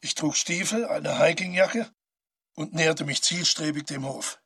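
An actor playing a haunted, brooding man who narrates a confession in a radio drama, measured and with deliberate pauses. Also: a little high, pushed and bright, no room sound, clean studio sound, full character voice. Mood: matter-of-fact confession. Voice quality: gravelly, husky. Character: tall, haunted man calmly confessing to a killing. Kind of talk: literary radio drama monologue.

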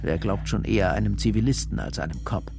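Low-voiced male radio drama narrator. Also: a little fast, creaky. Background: music.

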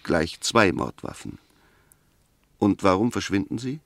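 An actor playing a sceptical, gently ironic defence lawyer in a 1960s German radio play, arguing in a measured, precise and pointed way. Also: male voice, low-pitched, lively intonation, creaky, no room sound, studio quality, exaggerated voice. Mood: reasoned, insistent. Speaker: defence counsel.

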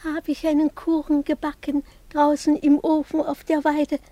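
A woman's voice: high-pitched, completely flat delivery, normal speed, dark tone, dry recording, close to an everyday voice. An actress playing a devout old peasant woman who speaks in a lamenting, sing-song, folk-like way. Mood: proud, wistful.

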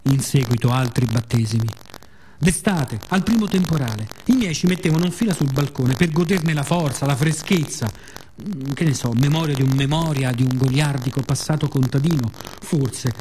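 Mellow-voiced man, reflective theatrical storytelling. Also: mid-range voice, moderate pace, a little hiss or hum. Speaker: storyteller, solo theatre monologist. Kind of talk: scripted radio theatre monologue.

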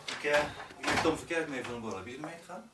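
Casting: actor as casual, concerned male colleague, radio play, brisk talker, clicky noisy recording. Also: on the high side, expressive, natural voice. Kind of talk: radio drama acting.

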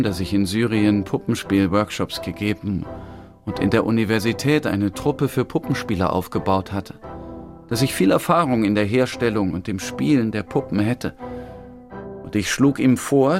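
Earnest Syrian puppeteer, lowish male voice. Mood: earnest, reflective. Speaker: narrator and lead in a radio drama. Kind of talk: scripted radio-drama narration, German voice-over of an Arabic speaker. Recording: music behind the voice. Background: music.

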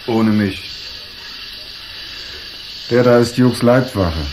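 A man's voice: mid-range voice, extremely slow, background noise. A male actor playing a grumbling, sensible old attendant in a radio crime drama, exasperated in manner. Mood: exasperated, wary. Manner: grumpy, exasperated.

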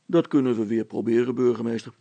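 Storybook dad read by a man: down-to-earth, brisk, informal, slightly creaky and husky. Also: medium pitch, steady tone, warm tone, no room sound, highs cut off. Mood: practical. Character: practical, plain-spoken father, inventive grown man.

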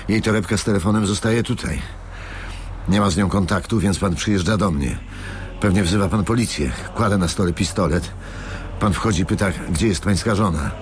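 An actor playing a grim, weary man in a radio drama, speaking haltingly and repeating his words. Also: deep voice, noisy background, lightly acted. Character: grim, weary man confessing a revenge plan.

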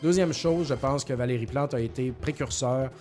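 A man's voice: medium pitch, normal speed, darkish tone. Québécois man chatting casually, music behind. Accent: Québécois French. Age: 40s. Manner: informal, talkative, slangy with swearing. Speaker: podcast host. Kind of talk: casual chat, reading listener questions.

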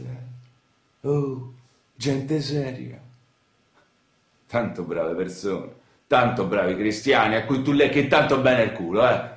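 A bitter young Roman boyfriend in a radio play. His pitch swings widely as he boasts and taunts in coarse slang with a strong Roman accent, repeating himself. The sound is roomy and echoing. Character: bitter, boastful young working-class boyfriend from Rome's outskirts. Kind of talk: naturalistic radio drama dialogue.

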